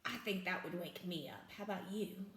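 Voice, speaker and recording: A woman's voice: lowish voice, expressive, normal speed, background noise. A woman reading a picture book aloud as a teacher, with simple explanatory asides and questions for young children, in an echoey room.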